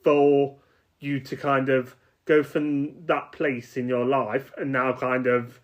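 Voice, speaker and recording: A man's voice: medium pitch, level tone, slow pace. A man hosting a podcast, speaking in an encouraging and hesitant way with many filler words. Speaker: podcast host. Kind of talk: casual interview chat. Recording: dull lo-fi sound.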